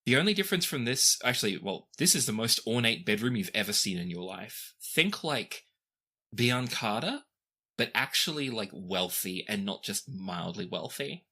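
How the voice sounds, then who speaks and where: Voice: medium pitch, expressive, normal speed, bright, forceful tone, husky. A game master narrating a tabletop fantasy game in a conversational, descriptive way, with casual asides.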